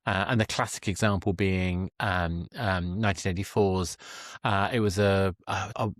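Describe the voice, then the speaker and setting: A man's voice: deep voice, steady tone, moderate pace, no echo, studio quality. A man hosting a conversational podcast interview, rambling enthusiastically and often rephrasing himself mid-thought. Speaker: podcast host interviewing a guest.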